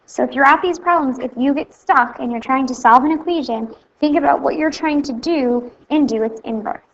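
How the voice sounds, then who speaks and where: A woman teacher explains math in a recorded lesson. She speaks plainly and steadily, one step at a time. Her voice is a little high with noticeable vocal fry, and the recording sounds slightly muffled. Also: moderate pace, fairly bright tone.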